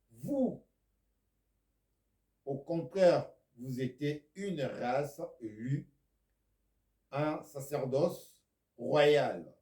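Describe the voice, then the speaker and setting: Slow, roomy-voiced Congolese preacher. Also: male voice, medium pitch. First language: Lingala or Kikongo. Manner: slow, swings from loud declaiming to near-whispers. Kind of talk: video sermon.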